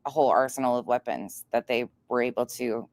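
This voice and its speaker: Low-voiced, blunt woman reacting on livestream. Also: a little fast. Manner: blunt, sweary, incredulous. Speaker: livestream host. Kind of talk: casual livestream reaction commentary.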